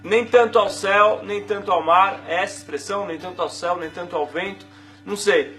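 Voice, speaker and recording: A man hosting an interview, asking slow, hesitant questions with restarts. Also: sits high, sharp tone, soft background music. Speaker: interviewer and show host.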